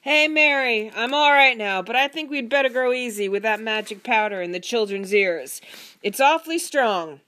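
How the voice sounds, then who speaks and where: A woman's voice: on the high side, moderate pace, voice pushed up. A woman voicing an irritable, exclamatory husband in a children's storybook. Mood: loud, relieved. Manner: loud, exclamatory shouting. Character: irritable husband tormented by suddenly magnified hearing.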